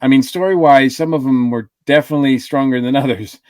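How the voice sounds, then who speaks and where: A man's voice: medium pitch, steady tone, quick pace, a little mellow, dry recording, clean studio sound. A man co-hosting a casual podcast review, rambling with lots of fillers and restarts. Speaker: podcast co-host.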